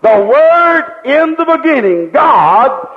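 A man's voice: very high-pitched, big pitch swings, slow. A man preaching a sermon with fervent rhetorical questions and repeated refrains. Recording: dull lo-fi recording with long hall reverb.

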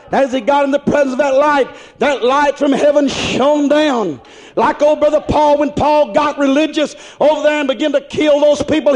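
A man preaching a fiery sermon, stretching out his words, calling hallelujah and swinging from shouts to low murmurs. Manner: dramatic, drawn-out exclamations, calls of hallelujah. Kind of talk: Pentecostal-style sermon.

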